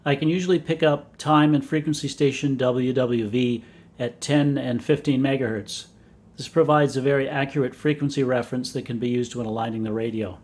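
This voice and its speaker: A man narrating a scripted technical explanation in a measured, matter-of-fact way, as a hobbyist presenter. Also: medium pitch, steady tone, darkish tone. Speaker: vintage radio hobbyist and presenter.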